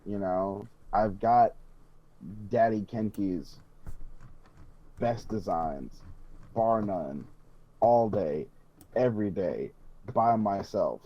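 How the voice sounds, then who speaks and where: A man's voice: on the low side, animated, takes it slowly, long pauses, highs cut off. A man co-hosting a hobby podcast, chatting casually with emphatic, sweary, rambling enthusiasm. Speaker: podcast co-host.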